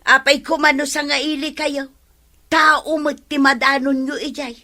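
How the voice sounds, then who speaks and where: A woman's voice: high voice, little pitch movement, moderate pace, sharp tone. A woman with an Ilocano accent playing a pushy, practical village wife in a radio comedy drama. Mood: insistent. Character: pushy, practical village wife urging her husband along.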